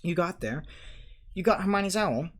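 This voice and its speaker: A man's voice: animated, moderate pace. A man doing a frantic young schoolboy. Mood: urgent. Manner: urgent, shouting, high. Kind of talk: audiobook reading.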